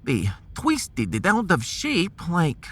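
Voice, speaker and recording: A man's voice: fairly high pitch, huge pitch swings, a bit bright, full character voice. A man voicing a fussy, gloomy Russian science officer in a sci-fi audio drama, with a Russian accent and pedantic manner. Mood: explaining. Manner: pedantic, tag questions, drawn-out phrasing.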